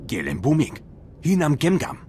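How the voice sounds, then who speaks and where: A man's voice: a little high, huge pitch swings, normal speed, darkish tone, slight background noise. A man playing an alien who speaks an invented language in a video game. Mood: animated. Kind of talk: video game creature acting.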